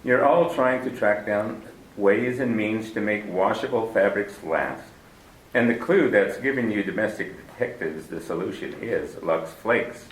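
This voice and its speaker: Formal 1940s radio host, roomy and distant. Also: male voice, fairly low pitch, unhurried, slight background noise. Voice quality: formal, stately delivery. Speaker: radio drama host and narrator. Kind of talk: 1940s radio broadcast introduction and narration.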